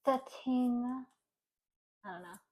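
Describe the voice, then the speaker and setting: A woman giving a casual tarot reading, speaking softly and comfortingly, with halting pauses and fillers. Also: fairly high pitch. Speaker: tarot card reader.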